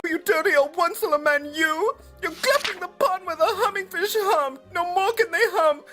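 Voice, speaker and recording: Woman voicing a shrill scolding little creature, high squeaky voice, loud and insistent, soft music behind. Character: fussy small male forest creature, scolding.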